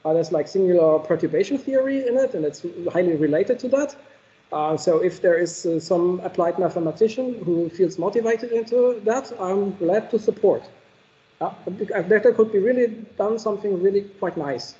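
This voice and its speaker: A man's voice: sits high, lively intonation, normal speed, dark tone, highs cut off. A man answering audience questions after a technical keynote, thoughtful and hesitant, with many fillers.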